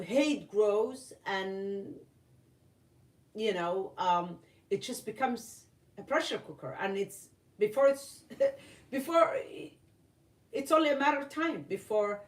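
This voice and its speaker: A woman from Somalia, giving earnest opinion commentary to camera, halting with many fillers and restarts. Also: fairly high pitch, lively intonation, far from the mic. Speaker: vlogger. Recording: roomy, voice from off-mic.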